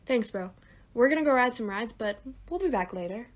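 A woman's voice: fairly high pitch, lively intonation, brisk. A woman voicing an easygoing, joking young monster friend in a cartoon. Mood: casual. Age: young adult. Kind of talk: animated cartoon acting.

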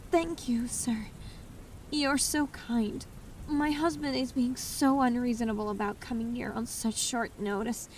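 A woman's voice: steady tone, unhurried, a little mellow, far from the mic, close to an everyday voice. A woman playing a polite but scolding wife in a horror radio play. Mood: polite exasperation. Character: polite pregnant wife, scolding her husband. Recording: noisy, rumbling, off-mic.

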